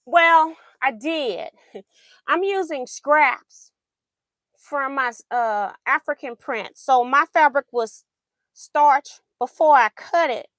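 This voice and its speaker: A woman's voice: sits high, slow pace, a bit bright, dry recording. A woman hosting a quilting livestream, chatting casually while she sews, laughing often and answering viewer comments, her voice going in and out.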